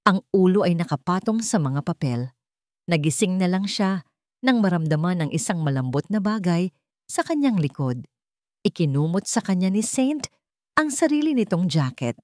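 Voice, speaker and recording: A woman narrating a scripted dramatic story with an expressive delivery. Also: on the low side, moderate pace, no echo, clean studio sound.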